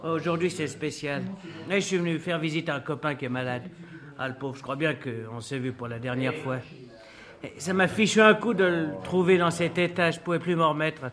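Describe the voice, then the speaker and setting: An actor in a French radio drama playing a jovial, chatty, inquisitive cousin with a hearty, colloquial manner. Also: male voice, on the high side, fairly quick, fairly bright tone, close to an everyday voice. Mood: sympathetic. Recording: busy café chatter behind. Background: café crowd chatter.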